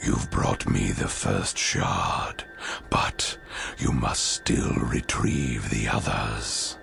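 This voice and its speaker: A man voicing an ancient, regal ghostly hero in a video game, with a breathy rasp and solemn, theatrical pronouncements. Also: a bass voice, wildly swooping pitch, very slow, a bit bright, whispered, soft background music, full character voice. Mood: regretful, urgent. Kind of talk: video game cutscene acting.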